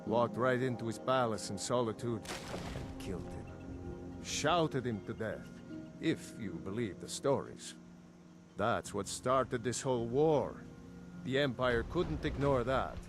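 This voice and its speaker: A man's voice: mid-range voice, unhurried, over music, lightly acted. A man acting an earnest, plain-spoken village blacksmith. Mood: grave. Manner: earnest, opinionated, matter-of-fact. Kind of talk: fantasy video game dialogue.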